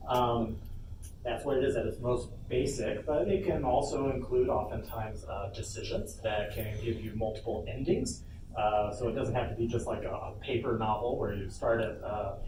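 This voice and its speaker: A man giving an informal live workshop talk as a panel presenter, speaking off the cuff with asides. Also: medium pitch. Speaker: panel presenter, lead game developer. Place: convention panel room, indoors. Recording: echoey room, distant mic, loud background noise.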